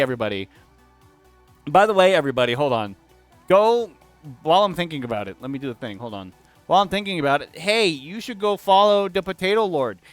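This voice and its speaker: Lively chatty young man streaming. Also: on the high side, clean tone, no echo. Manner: rambling and self-interrupting, talks back to chat. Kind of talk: casual chat with viewers.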